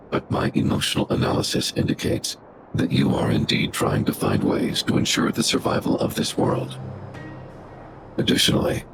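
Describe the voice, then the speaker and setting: Ancient robot guardian: extremely low, crackling, processed voice, formal and coldly logical game character, music behind. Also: male voice, exaggerated voice. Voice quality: gravelly, very deep. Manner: clipped, formal, analytical machine speech. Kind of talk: video game voice acting.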